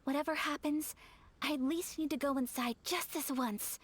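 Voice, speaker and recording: A woman playing a gracious, gentle young noblewoman in an anime video game, speaking politely and formally. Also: high voice, moderate pace, slight background noise, lightly acted. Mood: gentle, wistful.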